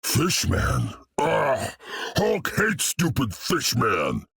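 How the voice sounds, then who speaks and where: An actor playing a huge, angry, dim-witted monster in a video game, growling bluntly with a very raspy voice. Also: male voice, medium pitch, huge pitch swings, very slow, a bit bright, no room sound, studio quality, full character voice. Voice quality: gravelly, very raspy. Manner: blunt, growling, third-person caveman speech.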